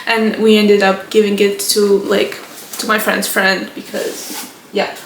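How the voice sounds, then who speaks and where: Slow-talking Moldovan woman, echoey room. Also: mid-range voice, level tone, distant mic, a little hiss or hum. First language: Romanian. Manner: chatty, rambling, frequent restarts and fillers. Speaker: book vlogger. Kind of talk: casual chat.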